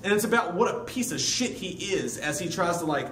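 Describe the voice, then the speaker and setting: A man co-hosting a casual, profane movie review, excitable and prone to loud, high outbursts. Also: fairly quick. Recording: roomy, distant mic with faint noise.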